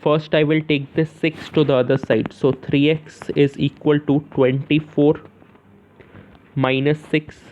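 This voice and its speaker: A man's voice: on the high side, little pitch movement, unhurried, a little mellow. Indian man tutoring over tinny, phone-like audio. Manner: asks questions and answers them himself; repeats phrases, punctuates with "yes" and "okay". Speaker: maths tutor. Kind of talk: informal spoken maths lesson, step by step. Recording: narrow, tinny phone-like sound.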